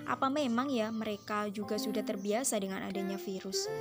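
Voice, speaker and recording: A woman's voice: mid-range voice, lively intonation, fairly quick. A young woman, a student narrating a scripted explainer and addressing viewers like friends. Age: young adult. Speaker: university student presenter. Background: loud music under the voice.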